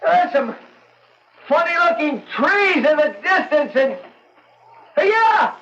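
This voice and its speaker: An actor in an old-time radio drama playing an excitable, defensive man lost in the wilderness, tense in manner. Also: very high voice, takes it slowly, heavily acted. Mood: amazed, excited. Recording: muffled vintage radio drama recording, faint noise.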